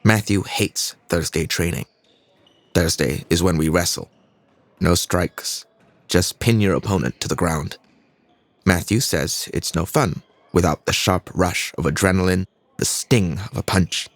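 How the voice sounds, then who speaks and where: An actor playing an earnest young fighter narrating his own story in a measured way, with a Singaporean accent. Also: low voice, no room sound. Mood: reflective. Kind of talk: scripted first-person audio drama narration.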